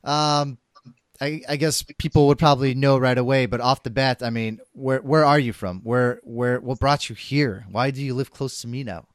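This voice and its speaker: A man's voice: medium pitch, fairly even intonation, normal speed, no echo. A man hosting a podcast interview, upbeat and casual, often stumbling and repeating words. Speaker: podcast host.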